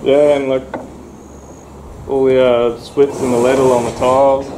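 A man in his thirties with an Australian accent, a builder explaining damage on a relaxed site walkthrough. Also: medium pitch, measured pace. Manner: relaxed, explanatory, lots of ums. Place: house under renovation, indoors. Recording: echoey bare rooms, noisy.

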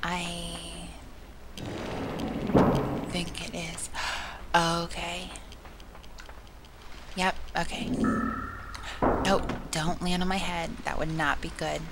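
A hoarse young woman chats through a video game, teasing herself and dropping into soft, breathy half-whispers. Her voice sits low and fairly flat, with a gravelly, frayed edge. A clear hiss of noise runs under the recording. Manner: chatty and self-mocking, drops into soft whispery asides. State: hoarse, sore throat. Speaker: gameplay commentator. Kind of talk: casual let's-play commentary.

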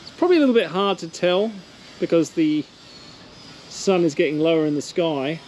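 Australian boat owner, relaxed how-to narration, higher-pitched voice, outdoor yard with light noise. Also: male voice, normal speed. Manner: relaxed, matter-of-fact explaining while working. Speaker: boat owner doing his own maintenance. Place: outdoor boatyard.

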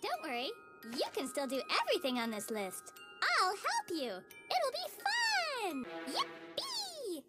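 A woman voicing a relentlessly cheerful, naive little schoolgirl in an animated cartoon, squeaky and childlike, gushing with sing-song delight. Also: slow pace, full character voice. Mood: helpful, cheerful. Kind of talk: animated TV series character acting. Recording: children's music behind the voice.

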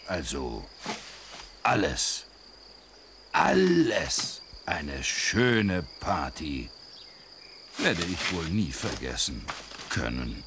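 Very deep, musing storybook animal. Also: male voice, big pitch swings, extremely slow, pauses often, noisy background, exaggerated voice. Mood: contented, musing. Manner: humming, musing to himself.

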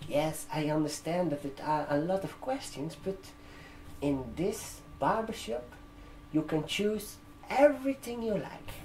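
Slow-talking young man doing ASMR, non-native English. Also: on the high side, expressive, low-quality recording. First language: not English. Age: 20s. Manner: gentle, halting, drawn-out phrasing with check-in questions. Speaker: ASMR performer playing a barber shop receptionist. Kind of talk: ASMR roleplay, soft customer-service talk. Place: indoor room, seated at a desk with a laptop. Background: computer keyboard typing.

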